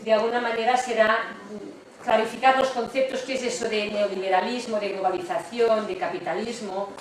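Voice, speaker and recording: A woman's voice: a little high, fairly even intonation, normal speed. A woman lecturer, a native Catalan speaker with a Catalan accent, giving a conversational, explanatory public talk punctuated by tag questions. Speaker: university economics lecturer, guest speaker. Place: lecture room with strong echo. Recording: very echoey room, distant mic, noisy.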